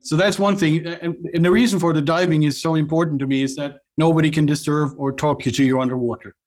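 A middle-aged man from Norway with a Norwegian accent, a company cofounder chatting as an interview guest, often restarting and filling pauses with "uh". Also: on the high side, steady tone, a little mellow. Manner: halting, many restarts and fillers. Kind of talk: casual interview chat.

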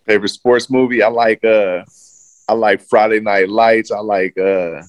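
A man's voice: fairly low pitch, fairly even intonation, measured pace, no echo. A man in his thirties, a former pro football player, chatting casually as an interview guest with a loose, laid-back manner and lots of fillers.

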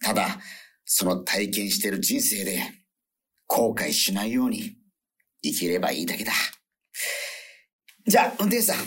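A man's voice: lowish voice, sing-song, moderate pace, long pauses, fairly bright tone, breathy edge, full character voice. A man playing a self-pitying, evasive male radio host with a theatrical manner in a comic radio drama. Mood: airy, evasive. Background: background music.

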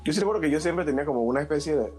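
A man's voice: fairly high pitch, lively intonation, very fast, darkish tone, soft background music. A man in his twenties with a Panamanian accent, hosting a casual podcast chat with friends in a slangy, joking way. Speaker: podcast host.

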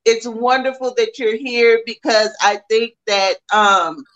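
A woman hosting an interview, warmly and eagerly asking questions with many fillers. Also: a little high, steady tone, slow pace, dull audio. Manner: warm, eager, filler-heavy. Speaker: interviewer, host.

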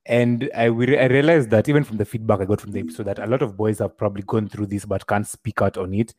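A man hosting a podcast, asking questions in a thoughtful, gently probing way. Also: lowish voice, fairly even intonation, quick pace, no room sound, clean studio sound. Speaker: podcast host. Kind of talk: interview questions.

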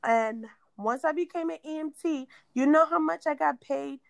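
Raspy New York woman ranting. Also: sits high, normal speed, no room sound. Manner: blunt, cursing, ranting. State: raspy voice after an asthma attack. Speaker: podcast host. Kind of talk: casual podcast chat.

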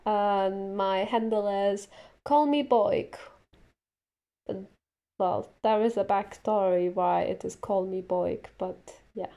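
Slow, mellow non-native English-speaking woman. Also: medium pitch, fairly even intonation. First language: not English. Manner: hesitant, many fillers, giggly. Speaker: player. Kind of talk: casual chat.

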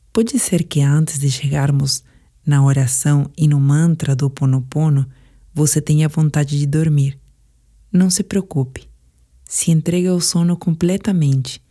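Brazilian female meditation guide, deep register, relaxed and soft, soothing delivery for sleep narration. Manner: calm, soothing, measured delivery.

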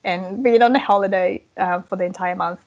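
A woman, a language coach talking as a podcast guest in a relaxed, chatty way with frequent laughs and fillers. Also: medium pitch, a little fast, muffled recording. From Ukraine. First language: Russian and Ukrainian. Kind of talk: casual interview chat.

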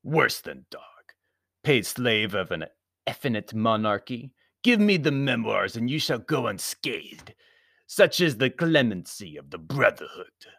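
A man's voice: deep voice, very animated, a little slow, sharp tone, no room sound, clean studio sound, exaggerated voice. A man voicing a sinister foreign villain with a guttural accent, pompous and menacing, in a storybook reading. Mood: contemptuous, threatening. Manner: pompous, melodramatic threats. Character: sinister foreign villain, fanatical secret-society thug, menacing and theatrical.

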